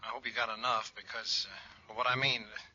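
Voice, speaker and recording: An actor playing a nervous, evasive small-time crook and ex-convict, hesitant and stammering, in 1940s radio drama style. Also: male voice, mid-range voice, flat delivery, fast, pushed and bright. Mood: shifty, stalling. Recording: dull vintage radio recording.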